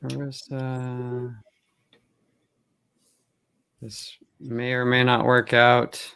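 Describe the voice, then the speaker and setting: A man's voice: medium pitch, monotone, very slow, creaky, bright, forceful tone, clean tone. A man hosting a virtual game show live over a video call in a casual, off-the-cuff way.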